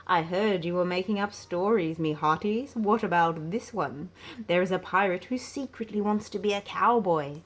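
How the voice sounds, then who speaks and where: A woman's voice: on the low side, normal speed, lightly acted. Woman playing a jolly, hearty pirate captain.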